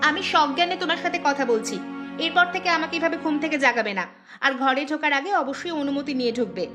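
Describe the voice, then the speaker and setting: A woman acts out an arrogant young bride in a cartoon moral tale. Her voice is extremely high and races along. She snaps haughtily and talks down to everyone, with music clearly playing behind her. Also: quick pace, natural voice. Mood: irritated, scornful. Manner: snappish, haughty. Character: arrogant, rude young new bride of a rich landlord house. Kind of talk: animated moral-story voice acting.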